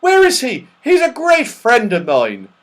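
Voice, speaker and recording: A man voicing an excitable, muddled, friendly old man in a children's storybook, exclaiming loudly. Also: extremely high pitch, unhurried, pitched above the performer's own voice, full character voice. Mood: eager.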